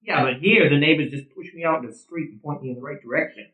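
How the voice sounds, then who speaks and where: An actor playing a hot-headed, combative middle-aged softball player who drinks beer, profane and sarcastic, in an audio drama. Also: male voice, on the high side, quick pace, phone-quality sound, natural voice. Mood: grumbling, conversational. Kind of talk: naturalistic audio drama acting. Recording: roomy, echoing sound.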